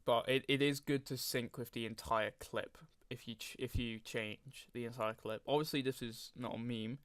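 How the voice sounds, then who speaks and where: A man narrating a casual software tutorial, speaking informally and hesitantly as he thinks aloud. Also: mid-range voice, steady tone, moderate pace, no room sound. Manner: frequent throat clearing. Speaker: tutorial host.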